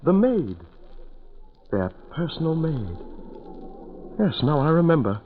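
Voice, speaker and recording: A man acting a shaken ship's passenger in a 1940s radio drama, speaking in a halting, dramatic way on a scratchy, tinny recording. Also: a little high, big pitch swings. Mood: dawning horror. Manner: dramatic, halting, drawn-out delivery. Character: shaken male ship's passenger recalling a horrifying tragedy.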